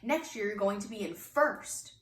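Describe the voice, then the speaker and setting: A woman's voice: medium pitch, normal speed, lightly acted. Woman playing a patient teacher in an echoey room. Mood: patient insistence. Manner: gently explanatory. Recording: echoey room, distant mic, faint noise.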